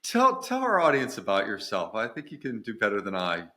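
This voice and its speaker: A male podcast host introduces his guest over a remote call. His voice sits low, with a crisp, forward edge, and his delivery stops and starts on frequent fillers. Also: wildly swooping pitch, brisk. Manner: warm, hesitant, many "uh" fillers.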